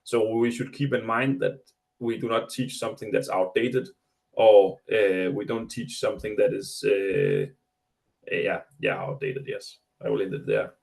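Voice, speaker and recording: A man's voice: lowish voice, animated, a little slow, gravelly, darkish tone. A man on an online education panel, thinking aloud with frequent restarts and hesitations.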